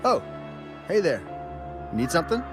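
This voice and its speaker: A man voicing a friendly, excitable townsman in a video game, telling things dramatically with small hesitations. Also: fairly high pitch, measured pace. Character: friendly, excitable male townsman, storytelling eyewitness of monsters. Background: game music.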